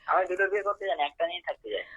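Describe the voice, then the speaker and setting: Young male call recipient, exasperated and husky, talking fast in casual protest over lo-fi phone audio. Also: high voice, fairly even intonation, fairly bright tone, no echo. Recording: dull lo-fi phone line.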